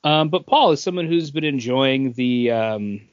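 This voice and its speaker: A man co-hosting a podcast in casual chat, telling things in a halting, conversational way. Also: medium pitch, expressive, no echo. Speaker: podcast co-host. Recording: dull lo-fi audio.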